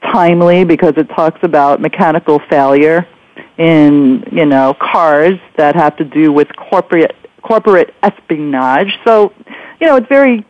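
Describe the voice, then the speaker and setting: A woman's voice: deep voice, normal speed, dry recording. A woman hosting a radio interview in a chatty, rambling, conversational way, often saying "you know". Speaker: radio show host. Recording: dull lo-fi radio audio.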